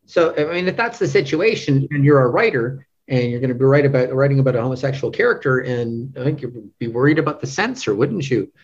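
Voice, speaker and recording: A man's voice: mid-range voice, fairly quick, hardly any pauses, breathy edge. A man speaking as a podcast interview guest, casual and hesitant, groping for words and checking in with the listener. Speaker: podcast guest, literary translator. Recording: dull, band-limited lo-fi audio.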